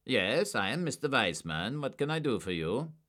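A man's voice: mid-range voice, big pitch swings, normal speed, fairly bright tone, pitched above the performer's own voice. A man voicing a wary small-time male counterfeiter who is nervous, evasive and scornful of the police. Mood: contemptuous. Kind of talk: audiobook character voice.

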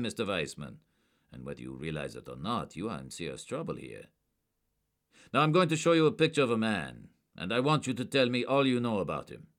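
Brief: male narrator doing a gruff agent barking orders, louder and a bit raised. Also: extremely low pitch, full character voice. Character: hard-edged male police agent, commanding. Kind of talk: audiobook character voice.

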